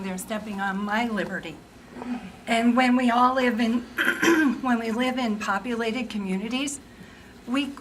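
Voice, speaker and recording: A woman making an earnest, reasoned point about rules and liberty at a public forum. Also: on the high side. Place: packed public library meeting room. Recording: noisy room.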